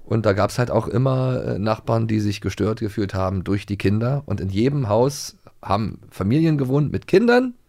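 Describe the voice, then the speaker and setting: A man's voice: on the low side, lively intonation, moderate pace, vocal fry, few pauses, a little mellow. A man co-hosting a podcast, chatting casually and reminiscing, with a hesitant delivery full of fillers and restarts. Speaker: podcast co-host.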